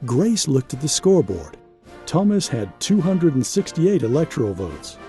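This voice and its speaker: A man reading a children's picture book aloud as an expressive storyteller, with measured, dramatic phrasing and pauses for emphasis. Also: on the high side, faint music behind.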